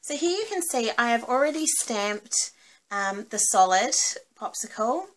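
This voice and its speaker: A woman's voice: on the high side, lively intonation, a little slow, fairly bright tone. A woman with a New Zealand accent giving a casual, cheerful craft demonstration in an informal, chatty way. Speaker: craft tutorial host.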